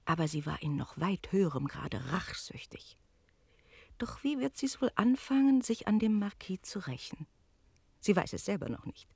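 An actress playing a worldly, teasing innkeeper's wife who tells stories expressively and confidingly in a German radio play. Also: female voice, medium pitch, big pitch swings, moderate pace, creaky, a little mellow, husky, dry recording, heavily acted. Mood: confiding, narrating.

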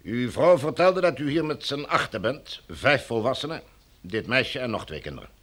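An actor playing a formal, domineering military commander in a radio drama, smooth and coldly menacing. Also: male voice, mid-range voice, animated, normal speed, fairly bright tone. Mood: coolly matter-of-fact. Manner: formal, officious, bureaucratic.

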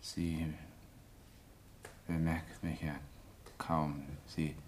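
A lovesick, timid male curate in a radio drama. He has an extremely low voice and drags out his words very slowly, faltering between phrases, with faint noise. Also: little pitch movement, exaggerated voice. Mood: wistful, drowsy. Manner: halting, faltering. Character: shy, slow, lovesick male assistant clergyman. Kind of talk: German radio play acting.

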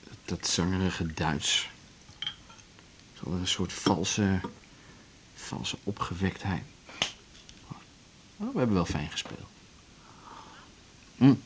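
An actor playing a tender, wistful musician lover, murmuring hesitantly in a naturalistic radio play. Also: male voice, sits low, animated, takes it slowly, pauses often. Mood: wistful, affectionate. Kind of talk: naturalistic radio drama acting. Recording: faint hiss on 1980s radio recording.

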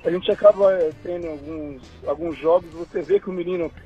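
A high-voiced man, an amateur coach, speaks haltingly and repeats himself. The sound is dull and muffled, with faint music underneath. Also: mellow, husky. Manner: hesitant, repeats words. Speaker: amateur football coach, guest. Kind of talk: casual interview chat.

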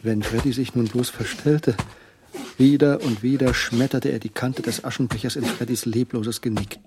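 A man's voice: medium pitch, steady tone, normal speed, noisy background, lightly acted. A man performing as the third-person narrator of a German crime radio drama, with scripted, tense storytelling.

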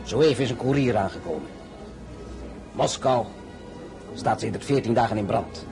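An actor playing a shrewd, suave diplomat at an imperial court in a radio play, measured and insinuating. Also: male voice, mid-range voice, expressive, vocal fry, music in the background. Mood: grave, discreet.

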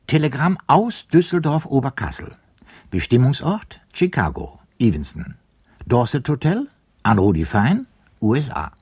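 An actor playing an officious clerk who announces addresses and message headers in a clipped, bureaucratic way in a radio play. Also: male voice, mid-range voice, sing-song, unhurried, darkish tone, slightly rough, no room sound, heavily acted.

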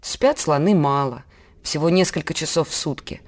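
A woman playing a patient mother, giving measured, scripted educational storytelling to her child. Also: deep voice, lightly acted. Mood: patient, explanatory.

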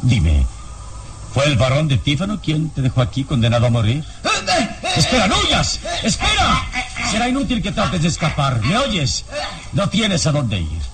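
Commanding hero, animated pitch. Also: male voice, background noise. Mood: urgent, pleading. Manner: deliberate, insistent, theatrical. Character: calm, commanding male adventurer hero, persuasive and protective. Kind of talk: Spanish-language radio serial acting.

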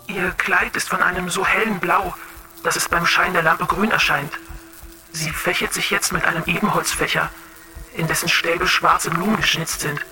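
A woman's voice: lowish voice, fairly even intonation, normal speed, sharp tone, soft background music, low-quality recording. An actress playing a serene, clairvoyant female android in a radio drama, speaking with dreamy eloquence. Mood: detached, observant. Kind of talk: German radio drama acting.

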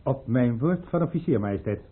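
An actor playing a deferential military officer in a radio play, clipped and subdued. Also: male voice, mid-range voice, expressive, brisk, husky. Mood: dutiful, subdued. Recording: tinny, muffled old radio recording.

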